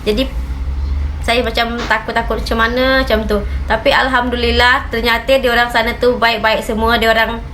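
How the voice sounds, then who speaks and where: A woman's voice: on the high side, flat delivery, a little fast, fairly bright tone, slight background noise. A woman from Malaysia with a Malaysian Malay accent, telling a travel story in a casual, chatty vlog, full of "okey" and "macam" fillers. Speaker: reaction channel vlogger.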